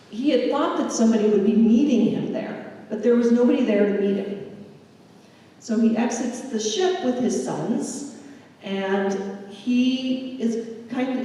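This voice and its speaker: A woman giving a public history lecture, telling a migrant's story in a measured, unhurried way. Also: fairly high pitch, warm tone. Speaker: history presenter. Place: lecture hall. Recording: echoey hall from far off.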